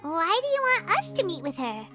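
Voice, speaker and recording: Super high squeaky tiny fairy sidekick, cutesy game voice, swoops all over, music behind. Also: female voice, huge pitch swings, moderate pace, heavily acted. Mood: anxious, whiny. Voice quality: squeaky, childlike. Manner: cutesy, exaggerated, speaks of self in third person. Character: tiny childlike female fairy companion, chirpy and excitable. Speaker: video game character. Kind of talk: scripted game dialogue.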